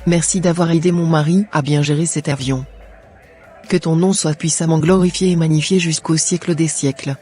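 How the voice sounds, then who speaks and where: A guy playing a devout praying wife, fervent, slightly high voice, music behind. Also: fairly even intonation, moderate pace, darkish tone, breathy edge. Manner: fervent, prayerful.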